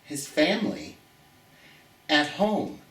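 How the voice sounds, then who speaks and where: An American man with a fairly high, very lively voice hosts storytime for children. He speaks theatrically and stretches out his key words. The sound is roomy and echoey. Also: takes it slowly, slight background noise. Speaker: storytime host. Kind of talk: children's storytime, reading and chatting to viewers. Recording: roomy, echoey, distant from the mic.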